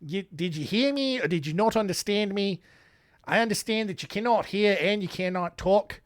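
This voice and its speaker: A man's voice: high-pitched, no echo, clean studio sound, pitched above the performer's own voice, natural voice. Man playing a sneering court official. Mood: mocking. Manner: sarcastic, talking down slowly. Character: condescending male court official, mocking.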